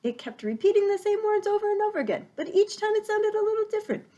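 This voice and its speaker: Lady doing a high shrill bossy owl, loud and hooty, swoops all over the place. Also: very animated, quick pace. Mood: excited.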